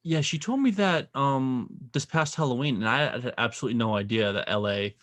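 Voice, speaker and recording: A man from New England hosting a podcast, animated and playful in casual conversation. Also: medium pitch, brisk, no echo. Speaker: podcast host.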